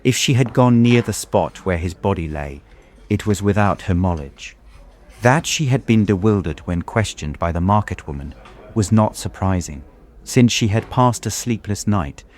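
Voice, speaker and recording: An actor playing a guilt-stricken gentleman who narrates his torment in a grave, formal, literary manner for an audiobook. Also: lowish voice, animated, normal speed, close to an everyday voice. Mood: somber.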